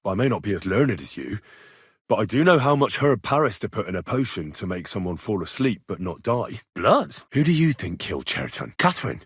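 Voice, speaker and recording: An actor playing an earnest, inquisitive young medieval blacksmith turned adventurer in a video game, speaking in a plain-spoken way. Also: male voice, lowish voice, very animated, brisk, breathy edge, no room sound, studio quality, exaggerated voice. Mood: probing, determined. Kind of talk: video game dialogue lines.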